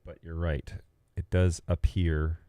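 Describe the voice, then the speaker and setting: A man's voice: low voice, flat delivery, takes it slowly, dark tone, no echo. A man hosting a casual livestream, rambling and thinking aloud as he talks through tech. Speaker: livestream host. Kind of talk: casual livestream chat about video production gear.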